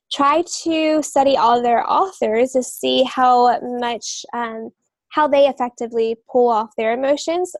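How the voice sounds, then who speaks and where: Creaky-voiced woman, close and dry. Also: a little high, no echo. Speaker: podcast co-host and writer. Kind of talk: casual advisory discussion.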